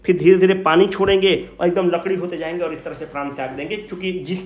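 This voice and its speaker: A man with a high voice and a Bihari Hindi accent gives an informal exam revision lecture. He poses questions and answers them himself, repeating the key points emphatically in everyday language. The room around him adds a strong echo. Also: steady tone, fast talker. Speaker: exam coaching teacher. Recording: echoey room.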